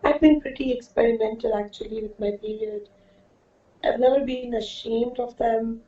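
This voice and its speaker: A woman reflects casually in a mellow, highish voice. She sounds away from the microphone in an echoey space. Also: steady tone, normal speed. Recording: dull, echoey, distant microphone.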